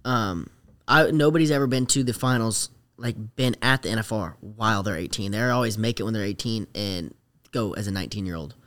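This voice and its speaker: A man's voice: on the low side, a little fast, a bit bright. A young man chatting casually as a podcast guest, talking in a hesitant, rambling, slangy way. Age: late teens to young adult. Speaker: rodeo rider, podcast guest.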